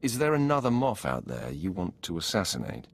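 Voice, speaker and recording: An actor playing a cold, commanding Sith lord in a video game, speaking in a curt, imperious way. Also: male voice, sits low, wildly swooping pitch, fairly quick, vocal fry, slightly rough, heavily acted. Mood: dry.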